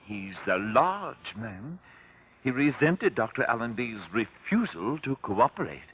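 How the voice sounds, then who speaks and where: An actor playing a dignified, guarded professor who answers formally and precisely, in an old radio drama. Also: male voice, mid-range voice, very animated, measured pace, slight background noise. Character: flustered, dignified male professor, long-serving colleague of victim. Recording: dull, lo-fi old radio recording.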